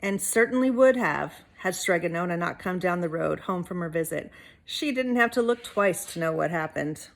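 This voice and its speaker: A woman's voice: fairly low pitch, expressive, normal speed, creaky. A woman reading a storybook aloud expressively to young listeners, with casual asides like a teacher.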